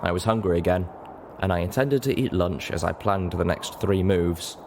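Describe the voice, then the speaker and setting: A man reading a story aloud in expressive scripted narration. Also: deep voice, moderate pace, slight background noise. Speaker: audiobook narrator.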